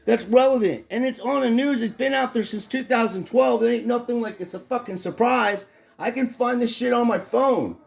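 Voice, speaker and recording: Hoarse, profane man ranting at home. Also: very high voice, normal speed, roomy sound. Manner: angry, profane, rambling rant. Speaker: vlogger. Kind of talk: ranting vlog. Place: his home in Florida.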